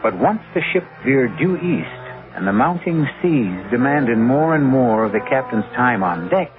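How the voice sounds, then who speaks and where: A man hosting and narrating a radio mystery in a grave, measured, theatrical style. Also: medium pitch, expressive. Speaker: host and narrator. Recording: thin narrow-band old radio, music underneath. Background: dramatic music.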